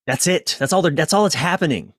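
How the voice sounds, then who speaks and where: A man's voice: a little high, expressive, fast, no echo, studio quality. A man speaking as a coach being interviewed, informal and conversational, often repeating phrases and interrupting himself. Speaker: relationship coach, interview guest.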